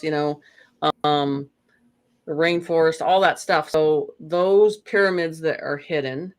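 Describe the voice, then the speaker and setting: A guest talks loosely and at length in an easy, unscripted spiritual chat. The voice sits at an average pitch, and the speech rambles with frequent fillers and self-corrections. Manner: rambling, full of "you know" and restarts. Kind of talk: casual spiritual conversation.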